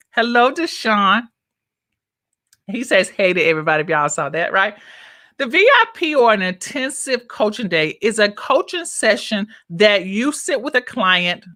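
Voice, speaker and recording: Chatty woman coach with a bright voice. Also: mid-range voice, no echo, clean studio sound. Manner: chatty, talks straight to viewers and reads their comments. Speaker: business coach. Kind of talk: casual live-streamed coaching talk. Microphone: own microphone, checked on her phone.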